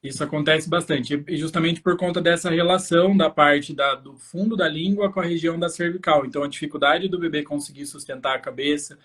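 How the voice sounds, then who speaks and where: A man's voice: fairly high pitch, fairly even intonation, fairly quick, few pauses, fairly bright tone. A man with a Brazilian accent hosting a live educational talk, explaining fluently and speaking directly to parents as a practitioner. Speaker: host, infant osteopath.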